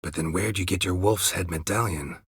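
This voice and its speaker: An actor playing a stoic, world-weary monster hunter in a video game, with a gravelly, husky voice and terse, dry, deadpan delivery. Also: male voice, low voice, normal speed, dry recording, full character voice. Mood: guarded, probing.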